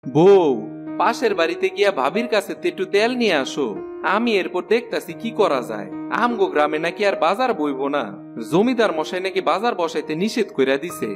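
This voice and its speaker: Grumbly village husband for a cartoon, high voice, rural east bengali accent, faint music behind. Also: male voice, animated, a little fast. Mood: bossy, grumbling. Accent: rural eastern Bengali. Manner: complaining, exclamatory. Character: grumbling village husband, irritable and put-upon. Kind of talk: animated cartoon dialogue.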